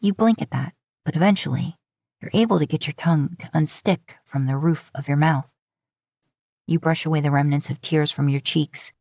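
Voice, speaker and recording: Casting: female audiobook-style narrator, low register, dry close sound, scripted fan fiction. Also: normal speed, creaky, a little mellow, dry recording. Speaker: podfic narrator.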